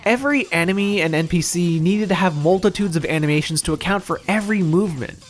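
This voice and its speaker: Higher-pitched man narrating a game review. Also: fast talker. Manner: opinionated, wry, casually profane. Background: music.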